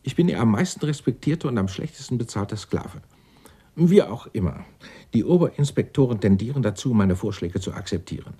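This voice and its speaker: A man's voice: mid-range voice, expressive, fairly quick, mellow, breathy edge. An actor playing an eccentric, indignant, self-righteous clerk in his sixties in a radio drama, speaking in a florid, pompous, theatrical way. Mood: self-satisfied. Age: 60s. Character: eccentric, indignant 64-year-old Dutch tax-law clerk, self-righteous. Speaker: murder suspect being questioned.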